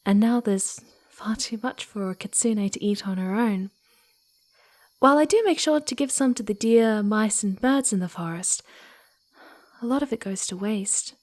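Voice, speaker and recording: A woman voicing a playful, sweet, eager young female fox spirit in a soft ASMR roleplay, cooing and giggly, often slipping into breathy whispers. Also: mid-range voice. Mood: welcoming, playful. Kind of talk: ASMR roleplay, speaking softly to the listener.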